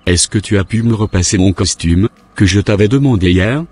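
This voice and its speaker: A curt, secretive husband. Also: male voice, fairly low pitch, normal speed, a little mellow, breathy edge. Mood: casual. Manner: brusque, dismissive.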